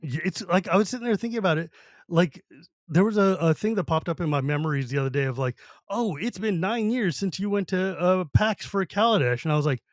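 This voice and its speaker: A man's voice: sits high, animated, a little fast, no room sound, studio quality. A middle-aged man hosting a podcast in casual chat, rambling, restarting and laughing often. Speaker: podcast host.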